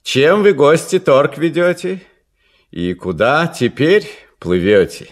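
A man voicing a courteous, melancholy young prince in expressive, drawn-out fairy-tale verse. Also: fairly high pitch, pitched above the performer's own voice.

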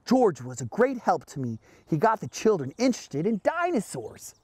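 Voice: mid-range voice, very animated, moderate pace, warm tone, slightly rough, no room sound, voice pushed up. A storybook reader voicing a kindly male schoolteacher who explains things patiently, recorded dry and close. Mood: reassuring. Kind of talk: storybook character voice.

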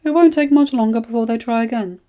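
A woman reads as a shrewd court spymaster in a fantasy story. The voice is raised high, with a formal, indulgent, knowing delivery. Every phrase sounds like calculated scheming. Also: fast talker, muffled recording, natural voice. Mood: smooth, knowing. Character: shrewd, smooth, scheming male royal spymaster.

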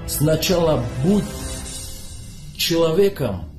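A man in his thirties makes a slow, impassioned appeal, his pitch swinging widely. His voice is warm and dark, and it rings in a roomy space with faint music beneath it. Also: sing-song. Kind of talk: video statement. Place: indoor room.

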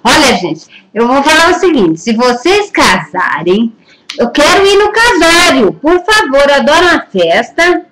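A middle-aged woman with a Brazilian accent hosts a live call-in show and keeps it lively with exclamations. Her voice sits high and moves a lot. It is recorded on a webcam in a small room with a faint hiss behind it. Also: unhurried, rough recording. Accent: Brazilian Portuguese. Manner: bubbly, laughs often, exclaims. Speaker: web TV host taking call-ins. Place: small indoor room, seated at desk.

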